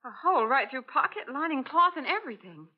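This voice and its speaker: Inquisitive girl assistant, fast, high and lively, vintage radio acting. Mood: curious, eager. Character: alert, inquisitive female detective's assistant. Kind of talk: old-time radio detective acting. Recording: dull old radio recording.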